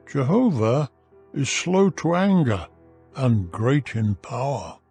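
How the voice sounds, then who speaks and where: A man narrating a dramatized audio Bible in a solemn, deliberate, declamatory manner. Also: medium pitch, darkish tone. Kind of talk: dramatized scripture reading. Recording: music behind the voice. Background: music.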